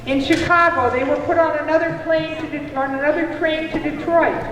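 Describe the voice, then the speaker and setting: A woman storytelling theatrically in a puppet show, with a dramatic, deliberate and expressive delivery. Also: high voice. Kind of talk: theatrical live storytelling, addressing a puppet companion. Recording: very echoey hall, distant mic, faint noise.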